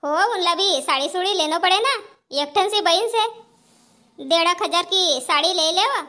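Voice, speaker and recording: A woman's voice: very high voice, unhurried, pushed and bright, exaggerated voice. A woman voicing a complaining village housewife in a cartoon comedy, chattering and scolding with a Powari accent. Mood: chatty, persuading.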